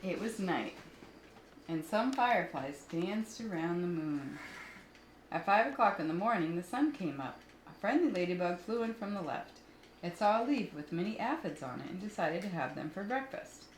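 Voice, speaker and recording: A woman reading stories aloud for children in an expressive, explanatory storytelling style, with small asides to the listeners. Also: lowish voice, normal speed. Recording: roomy echo with faint background noise.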